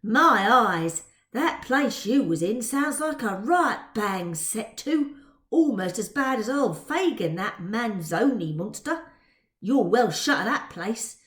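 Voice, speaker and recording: A woman's voice: on the high side, expressive, slow pace, a bit bright, roomy sound. A woman voicing a cocky, street-smart Victorian boy pickpocket with a Cockney accent, bragging in ungrammatical slang in a story reading. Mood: friendly, cajoling.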